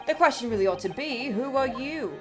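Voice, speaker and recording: A grandiose, self-satisfied male sleuth of the Victorian age , as a woman puts him on for a game, all flourish and formal phrasing. The voice sits fairly high, with game music playing underneath. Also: expressive, brisk. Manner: grandiose, self-congratulatory, British-style formal speech. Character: pompous theatrical male Victorian great detective. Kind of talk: voicing characters while playing a game.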